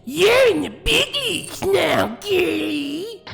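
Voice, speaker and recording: Woman playing a slow, creaky, sneering female fighter. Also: very high-pitched, sing-song, over music, exaggerated voice. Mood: taunting. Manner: sneering, taunting, crude. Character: haughty, mocking female fighter, impatient and menacing. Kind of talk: anime fan-drama acting.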